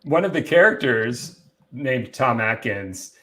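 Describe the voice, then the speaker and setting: A man's voice: mid-range voice, expressive, slow pace, bright, forceful tone. Male co-host, mid-range voice, chatty and keen, informal movie talk with friends. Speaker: podcast co-host. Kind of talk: casual film discussion among friends.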